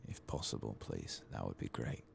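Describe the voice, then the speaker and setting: A man giving soft-spoken, whispered ASMR commentary over a game playthrough, murmuring casually as if to himself. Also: extremely low pitch, moderate pace, gravelly, slight background noise. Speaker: gaming ASMR host.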